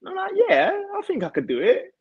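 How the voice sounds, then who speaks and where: A man's voice: high-pitched, wildly swooping pitch, brisk, breathy edge, dry recording, muffled recording. A young British man chatting casually as an interview guest, telling his own story on a video call. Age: young adult. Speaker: guest, elite martial arts athlete. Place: home kitchen, indoors. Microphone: device mic on a video call.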